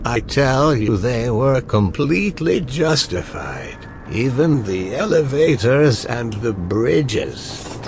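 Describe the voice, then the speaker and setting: A man doing a parody game voiceover of a weary, cryptic old hunter mentor, speaking in slow, theatrical, drawn-out phrases. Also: medium pitch, big pitch swings, a little mellow, slight background noise. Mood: solemn.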